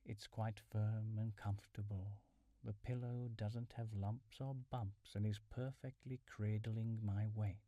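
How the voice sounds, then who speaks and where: A man leading a calm guided mindfulness meditation in a soothing, measured, instructional way, with soft breathy phrase endings. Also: on the low side, no echo. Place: small furnished room at home.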